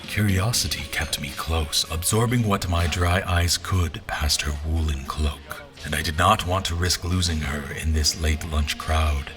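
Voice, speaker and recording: An actor playing a formal, pompous general who narrates his memories in measured, literary language for an audio drama. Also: a bass voice, a bit bright, soft background music. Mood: brooding, observant.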